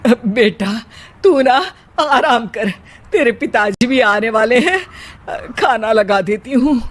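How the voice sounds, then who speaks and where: A concerned cartoon mother performed by a woman talks to her grown son. She is slow-spoken and admonishing, in a motherly way. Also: on the high side. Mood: weary, coaxing. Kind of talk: cartoon story acting.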